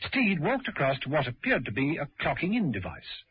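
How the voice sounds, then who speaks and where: A man's voice: on the high side, wildly swooping pitch, fairly quick, breathy edge. A man narrating a scripted vintage radio drama. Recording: dull old radio recording.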